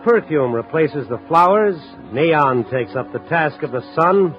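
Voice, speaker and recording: A male actor plays a world-weary American newspaper reporter narrating a 1950s radio drama. His voice sits slightly high for a man, reflective and hardboiled. The old recording is dull, with music behind him. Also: close to an everyday voice. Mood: brooding, reflective. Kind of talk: 1950s radio drama acting, first-person noir narration.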